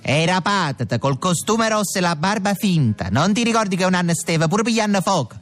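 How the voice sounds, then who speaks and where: Man doing a mournful neapolitan mob mom, kinda high voice, music faint behind. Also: very animated, brisk, a bit bright. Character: mob mother, dramatic and resigned. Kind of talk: comic radio drama.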